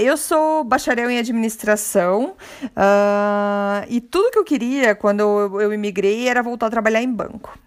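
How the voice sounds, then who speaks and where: A woman from Curitiba with a Curitiba accent, hosting her own podcast and chatting casually, laughing often and restarting her phrases as she goes. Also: a little high. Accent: Curitiba Brazilian Portuguese. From Curitiba, Brazil. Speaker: podcast host. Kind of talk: casual personal monologue.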